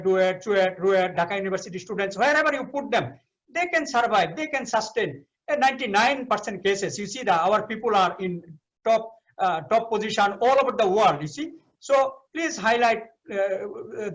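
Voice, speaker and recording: A man's voice: high voice, a bit bright, rough recording. A middle-aged Bangladeshi man, a professor giving advice in a webinar, talking with many hesitant fillers and restarts. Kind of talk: advice talk in English.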